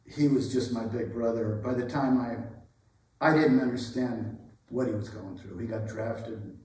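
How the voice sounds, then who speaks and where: A man playing a tender, regretful former pupil in a dramatic stage reading, with a halting, understated delivery. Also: mid-range voice, steady tone, darkish tone, lightly acted. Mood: subdued, grieving. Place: indoor arts center stage. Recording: roomy, distant stage recording.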